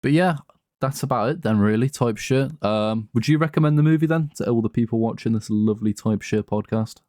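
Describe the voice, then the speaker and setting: A man's voice: medium pitch, a little fast, mellow, dry recording, clean studio sound. A man co-hosting a podcast in casual, slangy, sweary conversation about films. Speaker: podcast co-host. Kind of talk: casual chat, film discussion.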